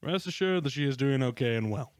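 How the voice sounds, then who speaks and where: A man's voice: medium pitch, expressive, a little fast, clean tone, no echo, clean studio sound, voice pushed up, lightly acted. A man voicing a courteous, reassuring male substitute teacher in a story reading.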